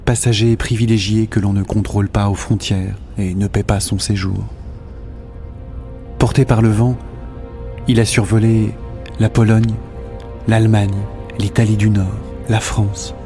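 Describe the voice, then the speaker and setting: A grave narrator in a literary audio drama. His voice is low and mellow, with measured phrasing, and music plays behind him. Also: level tone. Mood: ominous, detached. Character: grave omniscient male narrator.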